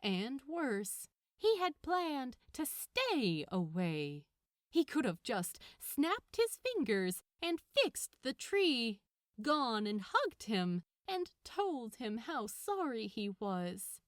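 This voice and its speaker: A woman reading fiction aloud as an audiobook narrator, with expressive, dramatic and deliberate phrasing. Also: a little high, dry recording, studio quality.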